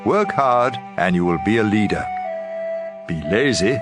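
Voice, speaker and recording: Lively-pitched man reading scripture over music. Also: a little slow. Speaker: audio Bible reader. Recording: prominent background music.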